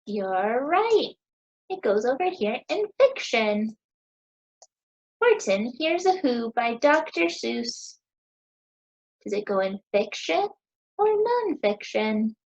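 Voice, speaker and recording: An American woman in her thirties teaching young children, asking questions in a sing-song, encouraging way with deliberate pauses. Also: a little high, very animated, a little mellow, lo-fi sound. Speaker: teacher hosting a children's story time.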